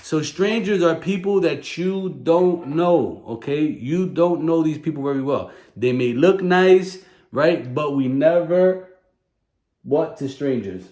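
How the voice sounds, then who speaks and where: A woman's voice: deep voice, expressive, takes it slowly, dark tone. A woman giving a child-directed safety lesson as a teacher, instructively repeating key phrases and prompting with questions. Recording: echoey room.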